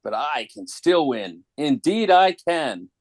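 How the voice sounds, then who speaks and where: A man's voice: on the high side, big pitch swings, slow pace, dry recording, voice pushed down, heavily acted. Man playing a petulant, theatrical toymaker villain. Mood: gloating menace. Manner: theatrical, whiny. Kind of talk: dramatic comic book table read.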